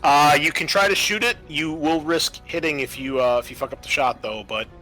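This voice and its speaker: A man's voice: a little high, moderate pace, bright, forceful tone, faint music behind, low-quality recording. A man speaking casually as game master of a tabletop roleplaying game, swearing freely.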